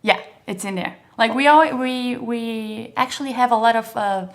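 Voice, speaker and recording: A woman's voice: on the high side, normal speed. A young Brazilian woman, a native Portuguese speaker with a Brazilian accent, chatting as an interview guest, hesitant, with many filler words and frequent laughs. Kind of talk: casual interview chat.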